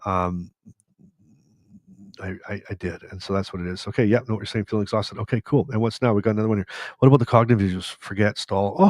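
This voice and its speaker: A middle-aged man hosting a live question session, talking candidly in a rambling, casual way, his voice tired and slightly raspy. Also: lowish voice, a little mellow, dry recording, clean studio sound. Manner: rambling, candid, many restarts and fillers. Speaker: host answering viewer questions. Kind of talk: casual live-stream chat.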